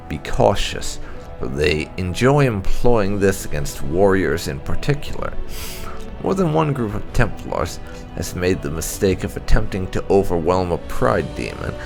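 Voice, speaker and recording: A man's voice: sits low, vocal fry, heavily acted. A man voicing a stern Templar commander from a fantasy game, giving a grave, cautionary lecture.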